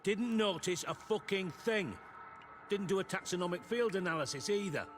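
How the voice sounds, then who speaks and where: An actor playing a gruff, cynical middle-aged crime boss and former spymaster in a video game, sardonic and blunt. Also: male voice, high voice, normal speed, natural voice. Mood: exasperated. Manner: sardonic, blunt, foul-mouthed. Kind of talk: dramatic video game dialogue. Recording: background music behind the voice.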